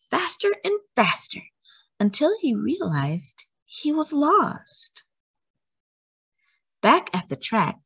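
Animated woman reading a children's storybook. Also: mid-range voice, slightly rough. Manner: animated, acting out sighs and cheers. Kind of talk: expressive children's storybook read-aloud.

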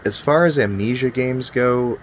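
A man's voice: mid-range voice, measured pace, slight background noise. A man in his thirties giving a scripted video game review in a wry, casual, emphatic way. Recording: music behind the voice. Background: music.

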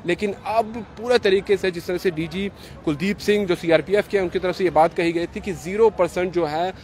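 A man's voice: sits high, brisk, a little mellow. A man, reporting live and unscripted as a field news reporter, in a wordy, repetitive way. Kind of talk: live on-the-spot reporting, unscripted piece to camera. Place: outdoors on a roadside by a gate and flyover. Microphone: handheld reporter's microphone. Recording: street traffic noise outdoors. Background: street ambience and vehicles.

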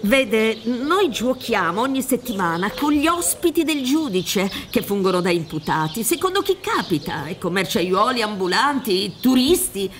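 A woman's voice: a little high, sing-song, normal speed, hardly any pauses, a little hiss or hum. An older woman acting in a radio drama as a worldly, theatrical defence lawyer, emphatic and admonishing. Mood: proud. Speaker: actor. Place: theatre stage set up for radio play. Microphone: suspended studio microphone with headphones.

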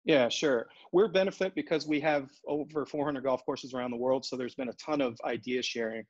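Man, forties, golf executive, steady and businesslike, fillers and restarts, dry, dull call audio. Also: medium pitch, a little fast, no echo. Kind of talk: video call interview. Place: home office in front of a brick wall. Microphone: earbuds on a video call.

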